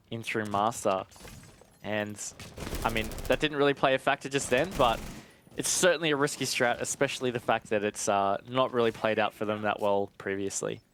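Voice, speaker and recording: A man's voice: mid-range voice, fairly bright tone. A man giving analytical live esports commentary in a conversational way with casual asides.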